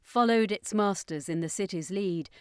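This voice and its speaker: A woman's voice: medium pitch, normal speed, clear, resonant tone, no echo, clean studio sound. A woman storyteller reading scripted narration of a fantasy adventure.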